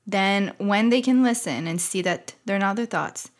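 A woman hosting a casual, reflective interview, speaking in a measured, step-by-step way. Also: mid-range voice. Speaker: interviewer, host.

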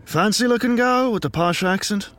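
An actor playing a chatty, nosy, wry bartender with a folksy, teasing way of talking in a 1930s noir audio drama. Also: male voice, sits high, moderate pace, fairly bright tone.

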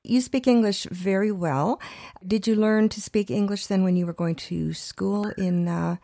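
A woman's voice: mid-range voice, moderate pace, dark tone, no room sound, clean studio sound. A woman hosting a radio show, reading a scripted introduction and then interviewing a guest. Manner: reads intro clearly, then chatty with small laughs.

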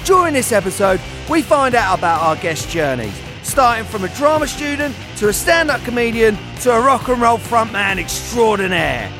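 High voiced guy hosting a podcast, matey n jokey, super animated, guitar music behind. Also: moderate pace, hardly any pauses. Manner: jokey, matey, enthusiastic, sweary. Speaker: podcast host. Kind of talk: upbeat podcast presenting and casual chat.

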